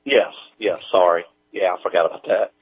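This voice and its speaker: A man speaking as an interview guest, chatting casually in a halting, folksy, informal way. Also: deep voice, fairly even intonation, slightly rough, dry recording. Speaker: guest, audio drama creator. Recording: tinny, phone-like band-limited line.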